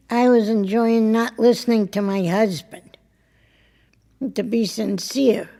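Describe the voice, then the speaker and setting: Creaky, slow-talking wry wife. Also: female voice, a little high, warm tone, full character voice. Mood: sincere, wistful. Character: wry, chatty married woman, wistful and unfussy. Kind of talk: naturalistic audio drama acting.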